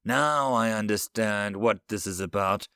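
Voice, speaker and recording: A man voicing a calm, collected human chancellor in his thirties in a story, measured and diplomatic. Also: lowish voice, clear, resonant tone, no echo, clean studio sound. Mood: calm, stern.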